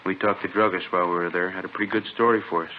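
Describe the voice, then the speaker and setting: A laconic cop, played by a man, talks low and flat in casual shoptalk style. It is vintage radio drama on a dull recording. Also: racing, noisy background, close to an everyday voice. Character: laconic, casual working police detective reporting a lead. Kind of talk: 1950s radio drama acting.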